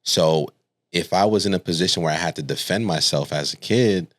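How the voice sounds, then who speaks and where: A man's voice: low voice, brisk, dry recording. A man from Connecticut, a podcast guest in a studio, telling personal stories casually and colloquially, often checking in with the listener. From Stamford, Connecticut, United States. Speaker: podcast guest, speaker and coach.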